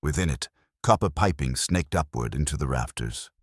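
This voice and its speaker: Very deep-voiced male mystery narrator. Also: expressive, slightly rough, no room sound, clean studio sound. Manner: measured, formal storytelling. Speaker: audiobook narrator. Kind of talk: dramatic scripted mystery narration in first person.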